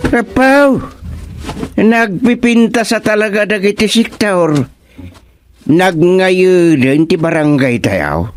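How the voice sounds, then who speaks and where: A man with an Ilocano accent playing a proud, deliberate village chairman in a radio comedy-drama, musing in drawn-out phrases with many interjections. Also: sits high, animated, no room sound, soft background music. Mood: pleased, musing.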